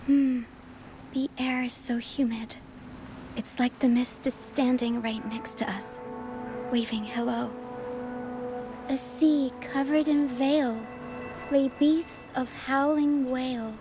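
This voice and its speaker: A calm young game heroine with a very high voice. She speaks slowly and with gentle whimsy, a little playful in her measured delivery. Game music plays behind her. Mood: calm, curious. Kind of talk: video game voice acting.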